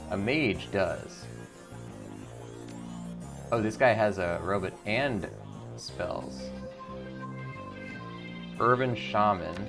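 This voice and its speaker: Guy narrating a video game playthrough, lowish voice, casual, music playing behind him. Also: drawn-out words, long pauses. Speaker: gaming video host. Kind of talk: casual game commentary with read-aloud narration.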